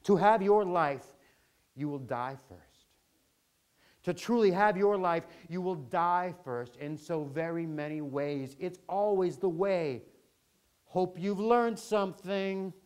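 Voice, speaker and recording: A man voicing a sinister tempter angel in a spoken-word parable, grand and mocking, with falsely generous, drawn-out phrasing. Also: sits high, darkish tone, clear, resonant tone, voice pushed up. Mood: menacing, mocking.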